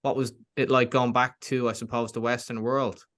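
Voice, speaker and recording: A man at mid pitch hosting an interview. He asks short, direct questions and follows up to pin down the details of his guest's answers. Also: flat delivery, normal speed, a bit bright.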